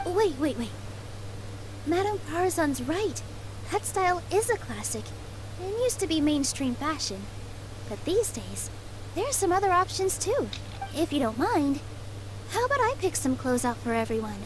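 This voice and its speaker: A woman's voice: high voice, big pitch swings, moderate pace, pauses often, slight background noise, rough recording. A woman playing a cheerful, helpful young woman, upbeat and chatty, in an anime game.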